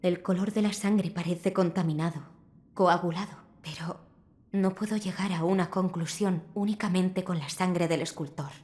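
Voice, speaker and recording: A woman voicing a polite, scholarly physician in a video game, speaking formally in a measured, composed way. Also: on the low side, steady tone, darkish tone, slightly rough. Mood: composed, studious.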